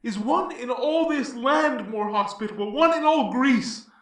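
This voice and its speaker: High, ringing heroic demigod. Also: male voice, a little slow, a bit bright. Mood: heroic resolve. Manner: grand, declamatory, impassioned. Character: mighty heroic demigod, grieved, indignant, then boldly resolute. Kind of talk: declaimed Greek tragedy in archaic verse. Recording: roomy echo, distant from mic.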